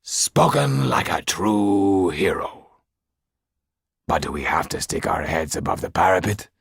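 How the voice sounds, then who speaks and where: A man's voice: low voice, a little slow, gravelly, slightly rough, no room sound, studio quality, full character voice. Man as a fretful, theatrical, self-pitying theatre owner. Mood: weak, wary. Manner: fretful, exclamatory, mournful.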